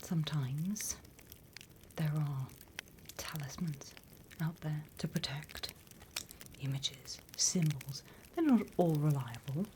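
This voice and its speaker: A sly demon schoolmistress acted by a British woman murmurs and whispers in a low, unhurried British voice. The delivery is ASMR roleplay, mock-stern and teacherly with a teasing threat underneath. Some clear background noise sits behind the close recording. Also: mellow, poor audio. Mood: wry, instructive. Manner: slow, deliberate, teacherly, teasing menace.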